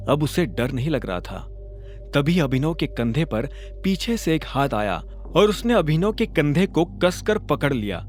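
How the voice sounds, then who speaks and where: A man tells a scripted audio story as its dramatic narrator. His voice sits a little high and moves in a lively, animated way. Faint music plays behind him.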